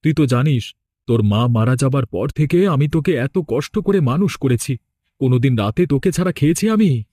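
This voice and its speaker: A man's voice: medium pitch, expressive, moderate pace, dark tone, no echo, natural voice. A man voicing a plain-spoken, fretful and scolding village man in a horror cartoon. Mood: worried.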